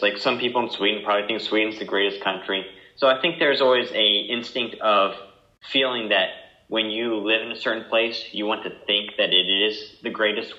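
Calm teen guy, dull echoey sound. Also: lowish voice. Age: teens. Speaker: discussion participant. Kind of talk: casual group discussion.

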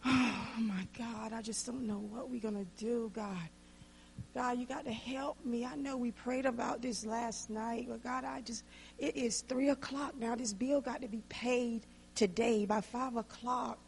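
A woman acts out an anxious older grandmother who frets aloud, repeating her worries over and over. Her voice sits high and gravelly. Faint noise lies underneath. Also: steady tone, measured pace, a little mellow. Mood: worried. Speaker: grandmother in a skit. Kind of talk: acted drama scene.

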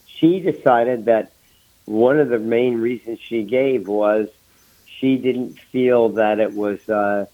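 An older American man chatting casually as a guest, with a halting, hesitant delivery. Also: medium pitch, lo-fi sound. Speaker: guest, former mayor. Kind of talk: casual chat.

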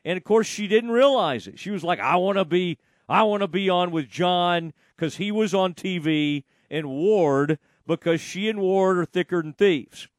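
A man's voice: high voice, moderate pace, few pauses, no room sound, clean studio sound. A man hosting a radio talk show, chatty and asking loose, rambling questions. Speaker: radio host. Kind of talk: casual radio chat.

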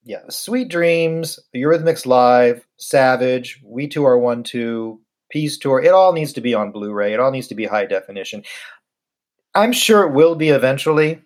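A man's voice: mid-range voice, expressive, normal speed. Middle-aged man, slight Southern American accent. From United States. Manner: easygoing, laughs often, repeats words when starting. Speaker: podcast co-host. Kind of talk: casual chat.

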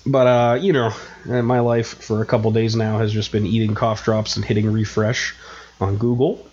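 A man's voice: lowish voice, little pitch movement, normal speed, a little mellow, slightly rough. Stuffed-up nasal man, dull lo-fi sound. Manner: rambling, self-mocking, many fillers. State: stuffed up with a cold. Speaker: podcast co-host. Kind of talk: casual chat.

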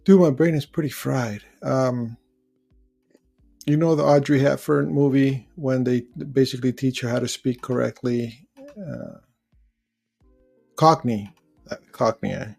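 A man's voice: medium pitch, unhurried, gravelly, pauses often, darkish tone, soft background music. A man hosting a live call-in show, chatting casually, rambling as he searches for words and reads out viewer comments. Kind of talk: casual live-stream chat.

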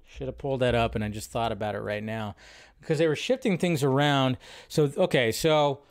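A man's voice: fairly high pitch, clear, resonant tone. A man hosting a live film news show with casual, unscripted commentary, rambling and thinking aloud with some mild swearing.

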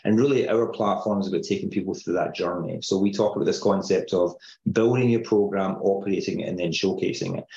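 A middle-aged Scottish man with a low voice talks through ideas in a measured, explanatory way. His audio sounds roomy and a bit dull, like a remote call. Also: dark tone. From Scotland. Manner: measured, explanatory, uses filler phrases. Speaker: company founder guest. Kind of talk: podcast interview.

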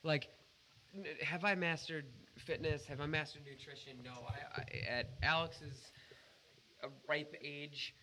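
Bright-voiced, earnest man chatting on a podcast. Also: a little high, fairly even intonation, a little slow, a little hiss or hum, poor audio. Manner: earnest, emphatic, heavy swearing. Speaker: podcast co-host. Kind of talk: casual podcast chat.

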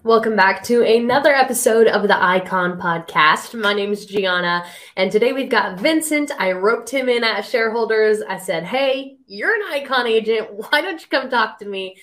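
Higher-pitched woman hosting a podcast. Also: lively intonation, normal speed, hardly any pauses, a bit bright. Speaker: podcast host. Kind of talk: casual conversational interviewing.

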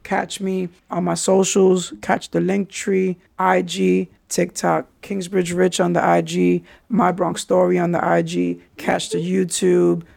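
A man recounts his past in candid street slang. His voice sits fairly high and carries a heavy gravelly fry. The sound is close and dry. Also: monotone, a little slow, hardly any pauses, a little mellow, no echo. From New York City. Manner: street slang, frequent "yo" and "you understand?". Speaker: podcast host. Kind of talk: candid personal storytelling.

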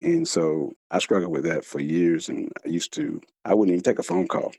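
A man with a very low, creaky voice talks reflectively as an interviewed author. He is earnest and halting, stumbling and repeating words with a speech impediment. The sound is dry and close. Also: very animated, warm tone, dry recording. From United States.

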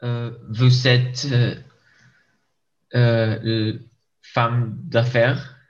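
Male student from Mexico, slow and careful, heard through dull lo-fi video call sound. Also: mid-range voice, little pitch movement. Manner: tentative, careful practice of basic French phrases.

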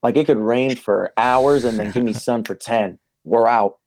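A young man in casual podcast chat, laid-back and slangy, his voice worn and going hoarse. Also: mid-range voice, fairly even intonation, moderate pace, darkish tone, slight background noise. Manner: laid-back, says man and dude a lot. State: losing his voice. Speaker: podcast co-host, PE teacher.

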